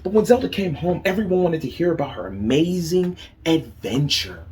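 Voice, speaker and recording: A man's voice: on the high side, expressive, moderate pace, darkish tone. A man reading a picture book aloud to young children as a teacher, asking them questions and praising their answers.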